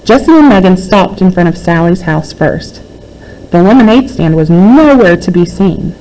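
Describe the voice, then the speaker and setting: A woman's voice: fairly low pitch, expressive, moderate pace, dark tone, slight background noise, poor audio. A woman reading a children's book aloud as a narrator. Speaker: read-aloud reader.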